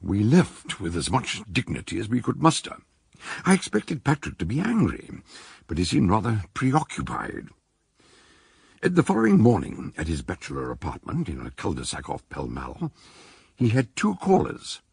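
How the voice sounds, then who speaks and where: The narrator of a radio mystery, an observant companion telling it in the first person. His low voice is measured yet very expressive, recorded dry and close. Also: vocal fry, breathy edge, no room sound, full character voice. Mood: measured, recounting.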